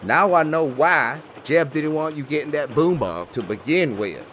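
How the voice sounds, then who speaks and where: A man plays an indignant backwoods client in an audio comedy. His Southern voice is a bit high and very animated, plaintive and doubting, with noise in the background. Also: moderate pace. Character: indignant, worried backwoods client, easily exasperated. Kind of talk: comedy horror audio drama acting.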